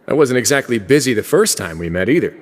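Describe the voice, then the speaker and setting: An actor playing a laid-back, wry prison warden in a video game, who speaks calmly with dry, understated humor. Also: male voice, mid-range voice, fast talker, soft background music, close to an everyday voice.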